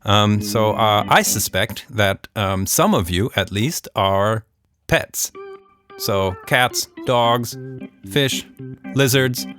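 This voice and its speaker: A man hosting a children's podcast and telling stories in a playful, mock-serious, unhurried way. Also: fairly low pitch, a bit bright, smooth, no echo, soft background music. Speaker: podcast host and storyteller. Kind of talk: children's storytelling, casual and scripted.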